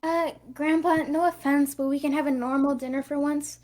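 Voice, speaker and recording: A woman's voice: sits high, fairly even intonation, moderate pace, a little mellow, lightly acted. A woman voicing an exasperated, sarcastic young girl who scolds, in an amateur cartoon table read. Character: exasperated, sharp-tongued young girl cousin.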